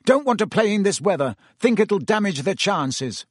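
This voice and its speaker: A reader puts on an intense teenage captain, lifted in pitch and pushed harder in volume. He sounds insistent and keyed up, each line pressed with urgency, close and dry on the mic. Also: sing-song, normal speed, dry recording. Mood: urgent, intense. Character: teenage schoolboy team captain, intense and anxious.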